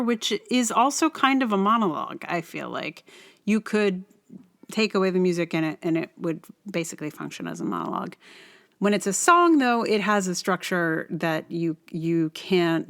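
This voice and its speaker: A woman's voice: on the low side, vocal fry, fairly bright tone. A woman hosting a podcast, casually introducing in a hesitant, reflective way, with thoughts that trail off. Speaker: podcast host. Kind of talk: casual rambling introduction to a song.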